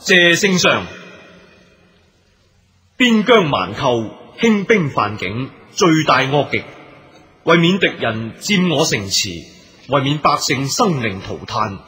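Resolute court minister, echoing hall. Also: male voice, medium pitch, big pitch swings, takes it slowly, pauses often, bright, forceful tone, exaggerated voice. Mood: respectful, resolute. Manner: formal, declamatory. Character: earnest, hawkish imperial court minister, righteous and resolute. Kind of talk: Cantonese radio drama acting. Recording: long hall reverb.

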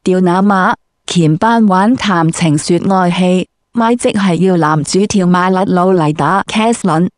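Female interviewer, Cantonese accent, lowish pitch, irreverent street-slang delivery, very dry recording. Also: measured pace, no echo. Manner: brash, crude street slang.

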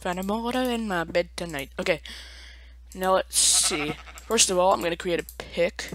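A man's voice: high voice, moderate pace, fairly bright tone, slight background noise, poor audio. A young man casually commenting on his own gameplay, chatty and self-mocking, with playful asides. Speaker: let's play gamer.

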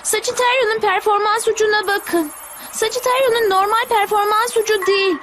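A woman's voice: extremely high pitch, steady tone, brisk, a crowd in the background. A woman voicing a keen, observant youngster in a dubbed anime, explaining and marvelling at the battle. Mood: intrigued. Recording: loud music under the voice.

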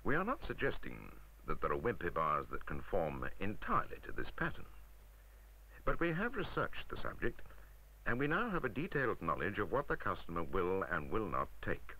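A British man with a deep voice and very animated intonation narrates a vintage 1960s instructional film. He is wry and emphatic, putting rhetorical points to the listener in a crisp scripted style, with a bright, forceful edge. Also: normal speed, low-quality recording.

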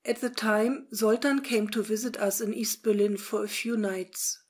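A woman's voice: a little high, steady tone, unhurried, husky. A woman with a first-person account, telling her memories as an eyewitness.

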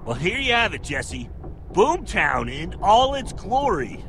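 An actor playing an excitable, laid-back big young guy, a goofy and slangy sidekick in an animated video game. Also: sits high, unhurried, exaggerated voice. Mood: cheerful, joking. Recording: game audio with background noise.

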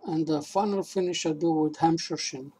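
An older man casually explaining a woodturning project in his workshop, plain-spoken and halting. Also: a little high, steady tone, dark tone, a little hiss or hum, rough recording. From South Africa. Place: small indoor cluttered woodworking shop.

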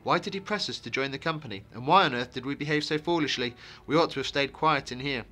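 A suspicious male hobbit from a fantasy storybook, put on by a male reader. He speaks in a guarded, indignant and well-spoken way. The voice is medium in pitch, with a sharp, forceful brightness. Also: quick pace. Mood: worried.

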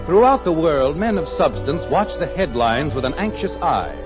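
A man's voice: a little high, big pitch swings, normal speed. A man giving scripted narration in 1950s radio style, mock-grave and wry. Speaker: show narrator. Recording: muffled vintage radio with music.

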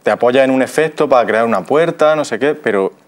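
Guy, studio interview guest, ordinary mid pitch. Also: expressive, fast talker. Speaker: radio theatre member, guest. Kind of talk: interview talk.

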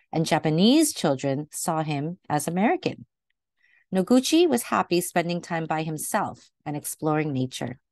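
A woman reading a picture book aloud to children in a gentle, deliberate way, recorded indoors over a video call. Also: on the low side, dry recording, studio quality. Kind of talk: read-aloud storytelling for children.

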